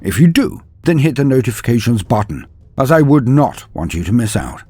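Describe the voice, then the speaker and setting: A man's voice: medium pitch, sing-song, fairly quick, warm tone, slightly rough, no room sound. A man narrating scripted stories as a channel host, in a theatrical, florid and formal manner. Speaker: host of a lore channel and storyteller. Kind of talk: dramatic scripted storytelling narration.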